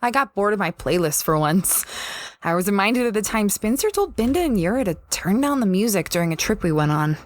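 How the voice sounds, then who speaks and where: Woman acting easygoing space explorer, mid-pitched. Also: lively intonation, brisk, natural voice. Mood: reflective. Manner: casual, musing, mild. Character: easygoing, peacekeeping female space explorer recalling past trips. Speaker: lead character and narrator. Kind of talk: audio drama narration and dialogue.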